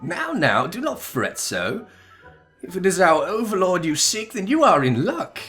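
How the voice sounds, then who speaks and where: A man voicing a courteous, florid and gently melancholy zombie emissary from a video game, with formal old-fashioned diction. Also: sits high, wildly swooping pitch, measured pace, soft background music, pitched above the performer's own voice, exaggerated voice. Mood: reassuring, grand. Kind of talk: melodramatic video game dialogue reading.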